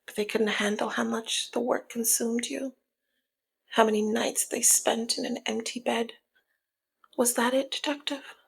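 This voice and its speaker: A woman playing a flirty, teasing, dominant female mob boss in an intimate ASMR roleplay, with a husky, breathy, half-whispered voice and a sultry, taunting manner. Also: on the high side, full character voice. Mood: sultry, probing. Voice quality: breathy, husky, often slipping into near-whisper. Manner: slow, sultry, playful taunting. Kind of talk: ASMR roleplay, intimate one-sided dialogue.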